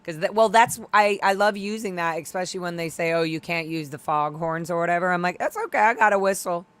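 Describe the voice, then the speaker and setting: A woman's voice: on the low side, fast, fairly bright tone, clear, resonant tone. A woman co-hosting a livestream, chatting casually in a playful, easily amused way. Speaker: livestream co-host. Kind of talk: casual chat.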